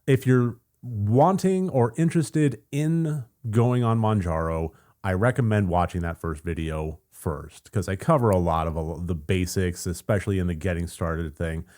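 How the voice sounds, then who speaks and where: Deep-voiced American man, gravelly from just waking up; chatty, rambling vlog host. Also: moderate pace, few pauses. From United States. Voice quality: gravelly morning voice. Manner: chatty and rambling, with fillers and soft laughs. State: just woken up, voice deeper than usual. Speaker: channel host. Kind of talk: casual vlog update.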